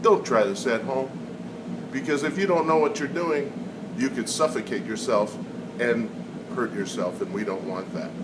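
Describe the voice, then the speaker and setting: A man with a cold explaining a science demonstration in a casual, halting way with frequent fillers. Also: medium pitch, noisy background. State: has a cold. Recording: echoey room, far from mic, loud air conditioning.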